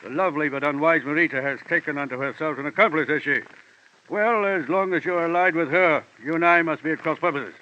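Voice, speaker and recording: An actor playing a pompous, hefty, Oxford-schooled crook in an old-time radio drama, speaking in wordy, elaborately formal phrases with genial menace. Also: male voice, a little high, fast, bright, forceful tone, slight background noise. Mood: suave, pompous. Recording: dull old radio recording.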